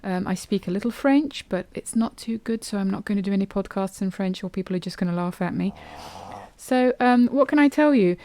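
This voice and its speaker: A woman's voice: medium pitch, brisk, darkish tone. A woman hosting a podcast, talking casually and at ease, with frequent ums and loose restarts. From England. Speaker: podcast host. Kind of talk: casual solo podcast monologue.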